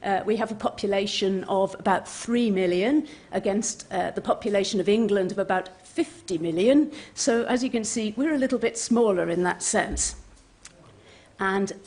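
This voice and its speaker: A woman's voice: medium pitch, normal speed, a little mellow. A woman from Wales, giving a prepared conference presentation with informal, friendly asides to the audience. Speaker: conference speaker, heritage official. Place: conference venue, speaking to an audience.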